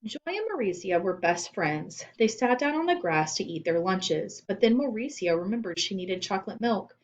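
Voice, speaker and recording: A woman reading children's fiction aloud as expressive scripted narration. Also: mid-range voice, moderate pace, muffled recording. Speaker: storybook reader.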